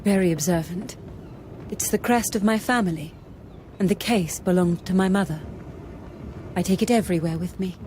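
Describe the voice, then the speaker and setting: An actress playing a young, well-bred British lady with an educated English accent, outspoken and expressive, in a video game. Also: on the low side, moderate pace, long pauses, a little hiss or hum, natural voice. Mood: polite, chatty. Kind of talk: video game dialogue acting.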